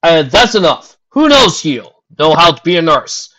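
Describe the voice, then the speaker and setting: A woman's voice: deep voice, big pitch swings, a little slow, a bit bright, voice pushed up, full character voice. A woman voicing a courteous, encouraging doctor pony in a story reading. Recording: dull lo-fi recording.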